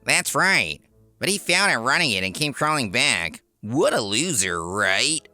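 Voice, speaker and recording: A man acts out a smug younger brother in a manga story, mocking and full of contempt. His pitch swings widely as he talks down to others, with music underneath. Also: very animated, unhurried, sharp tone, exaggerated voice. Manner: mocking, condescending. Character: sneering, smug younger brother with a corporate job.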